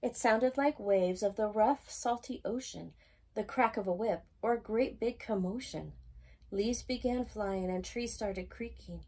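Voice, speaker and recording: A woman reading a rhyming children's picture book aloud as a storytime host, with expressive, singsong emphasis. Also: mid-range voice, unhurried, breathy edge.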